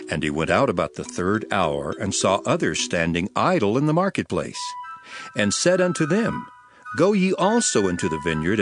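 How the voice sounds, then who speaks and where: Deep male voice, solemn teacher, measured declamation, sweeping intonation, dramatized scripture.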